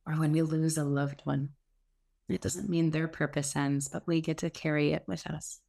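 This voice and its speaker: Female meditation guide, deep register with noticeable vocal fry, soothing and unhurried. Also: level tone. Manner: slow, gentle, soothing delivery with pauses. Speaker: meditation teacher.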